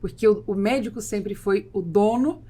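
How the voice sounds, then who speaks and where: A woman's voice: medium pitch, moderate pace, mellow. A woman hosting a podcast, asking long probing questions. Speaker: host. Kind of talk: casual chat.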